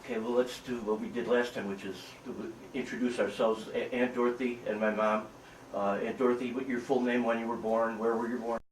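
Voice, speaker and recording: A man's voice: medium pitch, level tone, brisk, far from the mic. American man interviewing in a noisy, echoey room. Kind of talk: casual family interview. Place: echoey room, away from the microphone.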